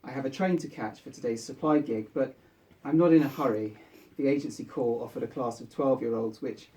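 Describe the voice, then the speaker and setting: A man reads literary fiction aloud to a live gathering. His voice is mellow and relaxed, at a middling pitch. The microphone sits some way off, so he sounds a little distant in the room. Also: fairly quick. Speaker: author reading own short story. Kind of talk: literary story reading to a live audience. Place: bookshop book launch event.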